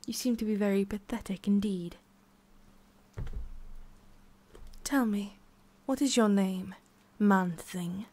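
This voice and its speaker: Slow-talking haughty witch, acted by a woman. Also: medium pitch, darkish tone, close to an everyday voice. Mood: scornful. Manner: condescending, scolding, theatrical pauses. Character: haughty centuries-old tsundere forest witch, disdainful of humans. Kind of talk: ASMR roleplay, addressing the listener.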